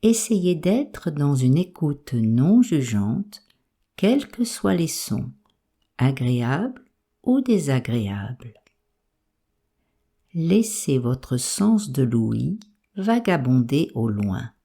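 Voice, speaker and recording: A woman guiding a yoga nidra relaxation, giving calm, soothing, measured instructions with pauses. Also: a bass voice, husky. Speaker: meditation guide.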